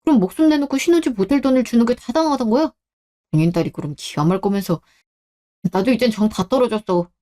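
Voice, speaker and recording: A young man voicing a protective husband in a radio drama, speaking in a low, firm and steady way. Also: quick pace. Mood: bitter. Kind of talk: Korean radio drama acting.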